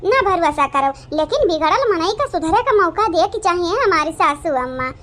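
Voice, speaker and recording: An actor with an Awadhi accent voicing a chattering, dramatic village woman in a comic cartoon, in a childlike squeaky voice. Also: sing-song, moderate pace, a bit bright, exaggerated voice. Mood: animated banter.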